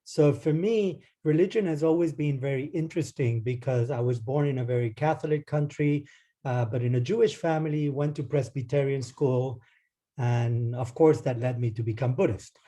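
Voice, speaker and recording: A man from Argentina giving an academic lecture over a video call, relaxed and hesitant, with many ums and restarts. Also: mid-range voice, moderate pace. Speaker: guest lecturer. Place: home or office, speaking into a computer.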